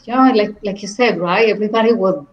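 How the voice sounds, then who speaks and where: A woman's voice: medium pitch, quick pace, highs cut off. A woman from Venezuela with a Venezuelan Spanish accent and Spanish as her first language, chatting casually on a podcast, laughing often. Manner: chatty, laughs often, adds tag questions. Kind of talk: casual chat.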